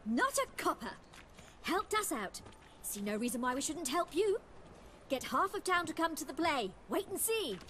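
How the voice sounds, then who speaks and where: A woman's voice: sits high, big pitch swings, normal speed, sharp tone, a little hiss or hum, full character voice. A woman playing a plain-spoken, friendly townswoman in a video game. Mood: friendly, eager. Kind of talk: video game dialogue acting.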